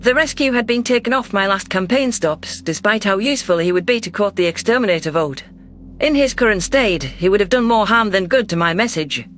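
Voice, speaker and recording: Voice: medium pitch, animated, fairly quick, a bit bright, slight background noise. A narrator reading a first-person science fiction story in a scripted, audiobook style.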